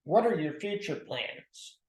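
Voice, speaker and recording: A man's voice: fairly high pitch, animated, slow, a little mellow. A man interviewing an author, asking brief and courteous questions. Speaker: interviewer for an arts group. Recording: echoey room.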